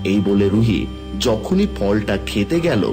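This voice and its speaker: A man's voice: fairly low pitch, animated, unhurried, over music. A man narrating a scripted children's fairy tale as storyteller.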